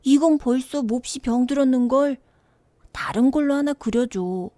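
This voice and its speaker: A woman voicing a small, mysterious boy in a storybook, gentle and insistent, with childlike, drawn-out phrasing. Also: high voice, dry recording, studio quality, voice pushed up, natural voice. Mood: fussy refusal.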